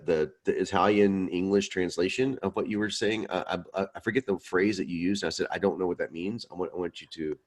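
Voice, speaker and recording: Deep male teacher, loose storytelling delivery, laughs easily. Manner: chatty, self-deprecating, laughs often. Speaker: instructor. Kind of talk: online class Q&A.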